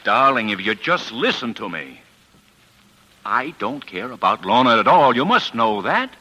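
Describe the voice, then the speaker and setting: An old radio drama recording of a harried, exasperated husband and film producer. His voice is forceful and swings widely in pitch. He pleads and insists earnestly in the 1940s manner. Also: big pitch swings, a little slow, full character voice, poor audio. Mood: pleading.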